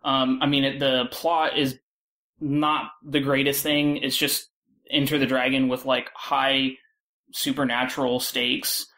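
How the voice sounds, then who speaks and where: A man talking casually as a panel guest, halting and peppering his speech with filler words. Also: medium pitch, little pitch movement, sharp tone. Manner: halting, filler-heavy, frequent "like". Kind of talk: casual discussion.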